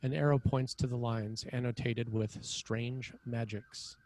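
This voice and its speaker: A man's voice: medium pitch, fairly even intonation, a little slow, darkish tone, rough recording. A man narrating screenplay scene headings and action in a scripted read.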